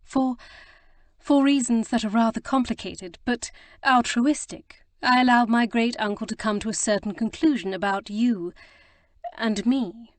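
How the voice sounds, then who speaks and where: A woman voicing a flustered, earnest young gentlewoman who stammers and rushes to explain herself. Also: on the high side, no echo, pitched above the performer's own voice, close to an everyday voice. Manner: breathless, stammering, eager to explain. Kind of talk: audiobook character reading.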